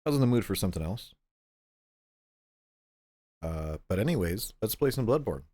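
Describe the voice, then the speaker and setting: A stuffed-up man short of breath, rambling casually as he streams a game and clears his throat often. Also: lowish voice, very animated, normal speed, warm tone, no echo, studio quality. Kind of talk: casual gameplay chat.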